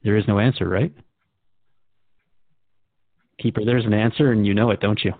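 A man's voice: on the low side, steady tone, moderate pace, no echo, phone-quality sound. A man hosting a radio drama conversation, asking questions in an inquisitive, conversational way. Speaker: radio show host.

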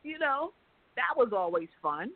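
A woman's voice: a little high, sing-song, a little slow, no room sound. A woman speaking as an interview guest, telling stories in a casual, conversational way over a phone line. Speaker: interview guest, company founder. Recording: dry, tinny phone line.

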